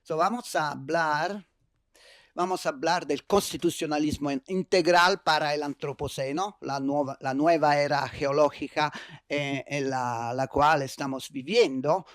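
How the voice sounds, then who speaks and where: A man's voice: a little high, unhurried, no echo, clean studio sound. A man from Italy with an Italian accent, giving a conference lecture in a non-native language, hesitant with many fillers and restarts. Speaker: keynote speaker. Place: university lecture hall.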